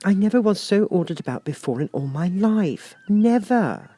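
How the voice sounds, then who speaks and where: A man's voice: high voice, very animated, normal speed, dark tone, voice pushed up. A man voicing a polite, puzzled young girl in a storybook, with a proper, questioning manner. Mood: indignant. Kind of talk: storybook character voice.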